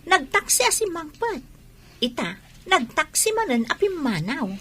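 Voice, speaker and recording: A woman's voice: very high voice, very animated, normal speed, a bit bright, slight background noise, heavily acted. A woman with an Ilocano accent playing an inquisitive, fretting character who presses questions in a radio drama.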